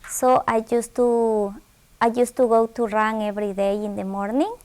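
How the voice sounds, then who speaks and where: A woman's voice: a little high, steady tone, moderate pace, a little mellow. A young woman from Ecuador with a Spanish accent, a native Spanish speaker, giving interview answers in English with many restarts and repeated words. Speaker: graduate scholarship student.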